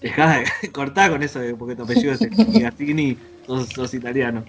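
A man's voice: mid-range voice, a little fast, a crowd in the background. Joking Rioplatense man hosting a podcast. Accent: Rioplatense Spanish. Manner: rambling, joking, lively with frequent laughs. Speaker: podcast host. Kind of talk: casual comedic podcast chat.

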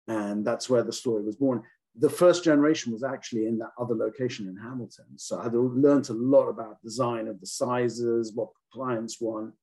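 A man's voice: mid-range voice, a little mellow, husky, low-quality recording. A man who grew up in England, talking casually as an interview guest about leasing offices, with frequent ums and restarts. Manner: halting, many ums and restarts. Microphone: call audio.

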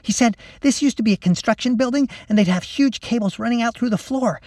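A narrator voicing a bright, bossy, scheming schoolgirl in an audiobook, excitable and urgent. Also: medium pitch, fairly quick, dry recording, studio quality, pitched above the performer's own voice, close to an everyday voice.

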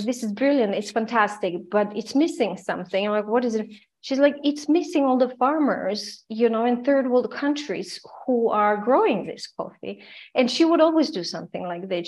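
A woman's voice: medium pitch, darkish tone. A woman from Croatia, a journalist and author, speaking earnestly and reflectively as a guest on an interview podcast, often restarting her sentences. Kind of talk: conversational interview storytelling. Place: home in Vienna, remote call.

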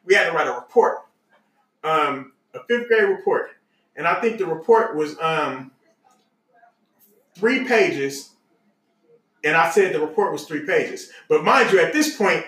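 A man's voice: a little high, expressive, a little slow, pauses often, fairly bright tone. An adult man casually telling family stories, candid and repeating himself as he goes. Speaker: podcast host. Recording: roomy echo, slightly distant mic.

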